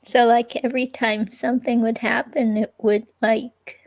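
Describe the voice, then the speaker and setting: A woman playing a hesitant, uneasy young ex-girlfriend giving a police statement in a dramatic transcript reading, halting and full of fillers. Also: a little high, level tone, a little mellow, lightly acted. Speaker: reader playing an interviewee.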